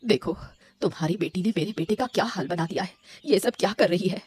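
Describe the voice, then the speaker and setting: A woman's voice: on the low side, brisk, a little mellow, breathy edge, no room sound. A woman voicing a stern, disapproving mother-in-law in a Hindi cartoon, speaking firmly and reproachfully. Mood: scolding. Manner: firm, reproachful. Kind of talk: Hindi moral-story cartoon voice acting. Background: music.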